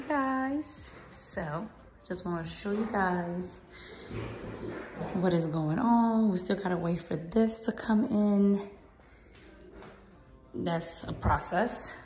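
A woman vlogger giving a casual home tour in a gushing manner with drawn-out emphasis, recorded on a handheld phone in a bathroom. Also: lowish voice, a little mellow. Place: small tiled bathroom indoors, being remodelled. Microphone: handheld phone behind the camera. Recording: echoey bare bathroom.

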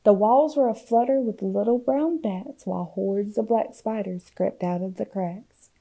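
A woman reading a children's picture book aloud expressively, with theatrical hushes and swells. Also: medium pitch, unhurried. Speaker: storytime reader.

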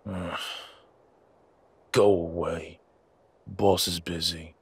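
A man playing a slow-witted, menacing henchman guarding his boss in an audio roleplay, with a gruff, raspy voice and blunt, halting speech. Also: low-pitched, flat delivery, dry recording, heavily acted. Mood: irritated. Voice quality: raspy, gravelly.

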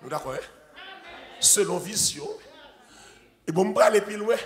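A man's voice: high voice, animated, moderate pace, a bit bright. A man in his forties with a Haitian accent, preaching a sermon in a church with repetitive, chanting emphasis. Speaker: pastor. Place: large church sanctuary with congregation. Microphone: handheld mic. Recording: echoey church hall, organ music.

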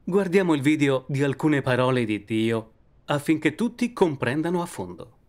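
Calm middle-aged man preaching at a desk. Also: on the high side, normal speed. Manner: calm earnest explaining. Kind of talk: sermon. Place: indoor study with bookshelves.

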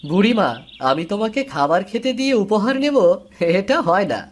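Good-hearted village lad for cartoon, female actor: dutiful, earnest, very lively intonation, medium pitch. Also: moderate pace, close to an everyday voice. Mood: kind, polite. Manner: earnest, caring, expressive. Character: dutiful, kind-hearted young village boy.

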